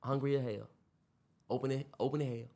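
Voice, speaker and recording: A man's voice: mid-range voice, steady tone, a little fast, mellow. A young man co-hosting a podcast, talking loosely with profanity and lots of restarts. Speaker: podcast co-host. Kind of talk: casual chat.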